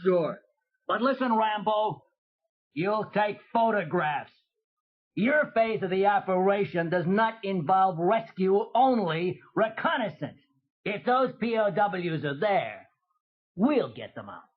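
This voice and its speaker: A bossy official on a children's story tape, high-voiced for a man. He speaks slowly and emphatically, in dull cassette sound. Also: expressive, full character voice. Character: smooth-talking, bossy mission official. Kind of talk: children's audio story acting.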